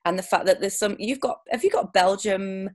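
A woman's voice: mid-range voice, brisk. A woman hosting a casual interview chat, talking in loose, rambling sentences full of restarts and ums. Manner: chatty, lots of restarts and ums. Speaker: podcast host.

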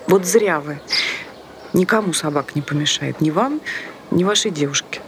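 High-voiced meddlesome park busybody, played by a man. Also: moderate pace, close to an everyday voice. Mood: preachy, insistent. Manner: insistent, preachy, inquisitive. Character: chatty, meddlesome passer-by in a park, nagging a young smoker. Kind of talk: radio play dialogue. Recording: noisy outdoor ambience with birdsong.

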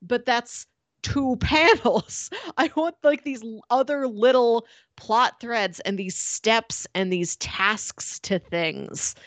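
A woman's voice: fairly high pitch, dry recording. Chatty woman podcast co-host, dry sound. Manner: chatty, laughs often, quick quoted asides. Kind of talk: casual book discussion.

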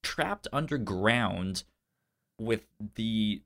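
A man co-hosting a podcast, casually discussing a film with a halting, stuttering delivery. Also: lowish voice, expressive, gravelly, fairly bright tone. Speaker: podcast co-host.

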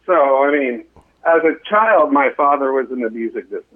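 A man's voice: medium pitch, animated, a little fast. A middle-aged American man, a musician guest on a show, chatting casually over a muffled lo-fi call. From United States. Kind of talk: casual chat.

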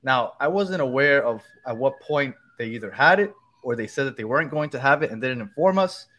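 A man's voice: medium pitch, lively intonation, fairly quick, sharp tone, lo-fi sound. A man hosting a casual soccer fan podcast, chatty and joking. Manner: chatty, joking, loose restarts. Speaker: podcast host.

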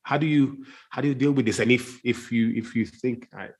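Male, mid-range voice. Thinks aloud in conversation, circling and restarting as he searches for the point. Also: medium pitch. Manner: searching, halting, circling around his point. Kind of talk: reflective conversation.

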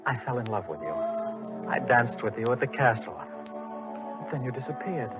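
An actor playing a gallant, ardent young prince in a courtly, romantic old-time children's radio play. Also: male voice, medium pitch, lively intonation, moderate pace, close to an everyday voice. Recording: dull old radio recording with loud music.

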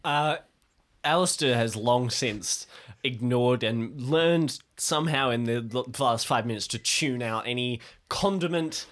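A man's voice: mid-range voice, a little slow, fairly bright tone. A man chatting and narrating casually as a player in an improvised tabletop game.

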